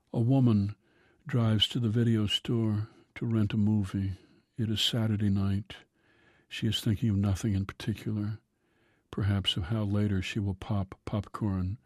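Male radio host, low husky voice, dry studio sound, unhurried scripted reading. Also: dry recording. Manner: calm, measured reading with slow drawn-out line endings.

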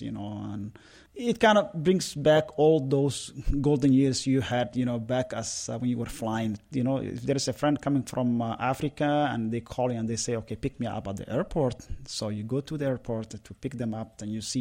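A man's voice: medium pitch, a little mellow. Reflective Somali man in his forties. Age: 40s. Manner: reflective, many filler uhs. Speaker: interviewee, former pilot. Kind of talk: recorded personal account.

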